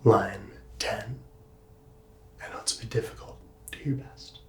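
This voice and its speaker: A man speaks in a breathy whisper, acting as a doctor for an ASMR roleplay. He is gentle and reassuring, with unhurried, soothing patter as he talks the listener through things. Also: on the low side, steady tone. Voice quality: breathy, mostly unvoiced whisper. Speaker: ASMR performer playing a doctor.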